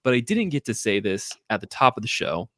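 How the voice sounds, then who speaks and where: A man's voice: lowish voice, very animated, fairly quick, pushed and bright, no room sound, studio quality. A man co-hosting a casual gaming podcast, talking in an informal, rambling, enthusiastic way. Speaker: podcast co-host.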